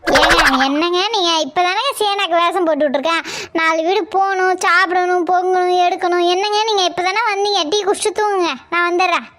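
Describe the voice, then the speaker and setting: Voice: very high voice, steady tone, brisk, pushed and bright. A performer voicing a bossy, harried mother who nags and coaxes, in a comedy skit. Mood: exasperated. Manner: nagging, coaxing, protesting. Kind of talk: family comedy skit acting.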